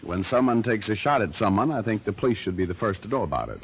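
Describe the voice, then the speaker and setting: A man's voice: fairly low pitch, very animated, fast talker, breathy edge, full character voice. An American man acting in vintage radio drama as a cool, cocky private detective, dry and wry, both narrating and in dialogue. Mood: cool, probing. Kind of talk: 1940s-50s American radio acting, with first-person narration. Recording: hissy old-time radio recording.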